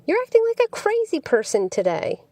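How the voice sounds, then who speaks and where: Woman acting higher-pitched, exasperated young girlfriend. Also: a little fast, exaggerated voice. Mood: indignant. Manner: animated, indignant when challenged.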